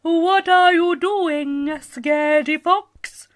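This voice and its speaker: A woman's voice: extremely high pitch, drawn-out words, pitched above the performer's own voice, full character voice. A woman voicing curious woodland creatures such as owls in a children's story, hooting and questioning in a sing-song way. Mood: curious, surprised. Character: curious woodland owls and animals hailing a fox.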